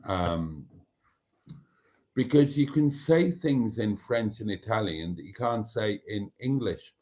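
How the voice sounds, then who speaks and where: Older Welsh-born male host with a low voice, unhurried, rambling live-stream chatter full of wry asides. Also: animated, dark tone. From Wales. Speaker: livestream host. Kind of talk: casual live chat reading comments.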